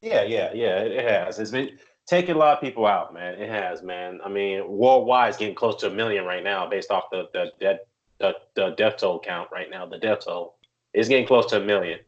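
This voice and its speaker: Low-voiced man on a dull line. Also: normal speed. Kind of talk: casual live-stream chat.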